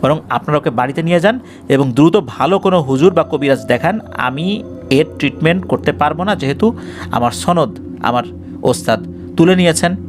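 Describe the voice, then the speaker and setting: A man's voice: fairly high pitch, close to an everyday voice. A man voicing a wary village healer in a horror story, explaining solemnly. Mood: apologetic refusal. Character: wary male village healer refusing a dangerous case. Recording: music bed behind the voice.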